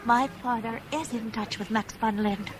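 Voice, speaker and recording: An actress playing a composed, businesslike woman in a radio drama, speaking in a measured, precise and discreet way. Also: on the high side, fairly even intonation, music in the background, natural voice. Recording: noisy old radio drama recording.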